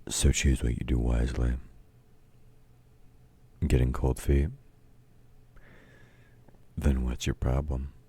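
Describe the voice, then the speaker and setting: An actor playing a domineering, taunting villain in an intimate audio roleplay, gruff and commanding as he mocks a meek listener. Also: flat delivery, slow pace, long pauses, full character voice. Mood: mocking, dismissive. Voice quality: very deep, dark, husky voice. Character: domineering, taunting male villain, grudging temporary ally of heroes. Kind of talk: intimate audio roleplay, scripted dramatic acting.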